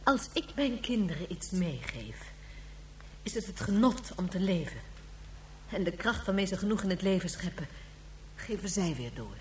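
A woman's voice: lowish voice, very animated, normal speed, pauses often. A woman playing an ageless, youthful, reasoning and protective character in a radio play, speaking earnestly, with slight noise on the recording. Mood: firm. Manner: earnest, explaining. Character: youthful-looking 197-year-old woman, reasoning, protective, life-loving. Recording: faint noise and hum.

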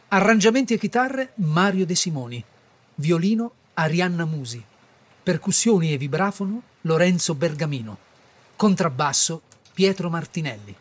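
A man announces scripted credits for radio. His voice is husky and a little high for a man, and he reads in a list-like, scripted way.

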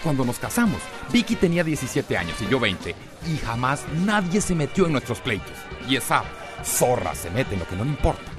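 A man acts out an indignant Costa Rican husband in a radio soap. He blusters and bosses, his pitch leaping up and down as he insists on his authority, with music behind him. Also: big pitch swings, fairly quick, lightly acted. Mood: defensive, indignant. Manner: indignant, blustering, repeats himself. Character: angry, domineering husband with a grudge. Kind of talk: radio drama acting.

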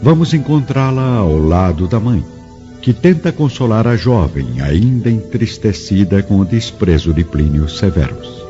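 A man's voice: fairly low pitch, very animated, measured pace, mellow. A man narrating a radio drama from a script in a solemn, literary manner. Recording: muffled studio recording with music. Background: music.

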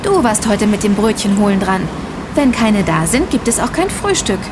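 An actress in an audio drama playing a sensible young investigator, matter-of-fact and dryly teasing. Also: female voice, mid-range voice, big pitch swings, moderate pace, natural voice. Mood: wry. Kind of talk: German audio drama dialogue. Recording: clear street and traffic ambience. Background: outdoor city street with vehicles.

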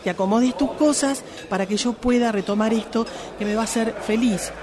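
A woman's voice: a little high, a little fast, natural voice. Exasperated Argentine wife acted by a woman, crowd behind. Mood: angry. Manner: insistent, exasperated. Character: frustrated, neglected wife dining out. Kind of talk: amateur radio drama workshop dialogue. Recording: lively crowd noise behind.

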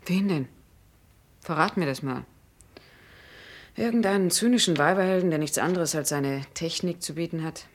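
A woman's voice: on the low side, normal speed, long pauses, natural voice. An actress in a German radio drama playing a frank, wistful young woman, direct and teasing in her manner. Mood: wistful, challenging.

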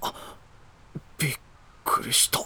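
An actor playing a guarded, proud young heir in a Japanese voice drama, with a curt, blunt, defensive way of talking. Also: male voice, unhurried, pushed and bright. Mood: exasperated.

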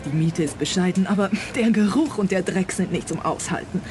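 An actress playing a worried, proper schoolteacher in a radio play, speaking in an earnest, correct way. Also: female voice, fairly low pitch, brisk, a little mellow, close to an everyday voice. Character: worried, proper schoolteacher daughter hiring a detective. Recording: faint background ambience noise.

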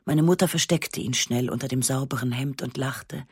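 A woman reading a literary first-person story in a sober, measured, matter-of-fact way. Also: extremely low pitch, darkish tone, slightly rough, no room sound, clean studio sound. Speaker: narrator.

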